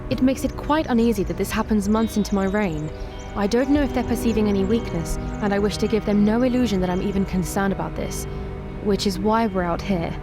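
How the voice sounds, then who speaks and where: A woman playing a guarded, commanding queen in a tabletop roleplay, speaking in a formal, measured way. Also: medium pitch, lightly acted. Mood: guarded, resolute. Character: composed, guarded, commanding young queen wary of rivals. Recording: music playing behind.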